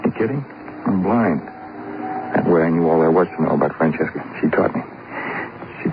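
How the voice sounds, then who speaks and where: A blind army veteran in a 1950s radio drama, bitter with grief. His very deep voice comes out in terse, broken phrases. The old recording is thin, tinny and plainly noisy. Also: fairly quick, gravelly. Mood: anguished, bitter.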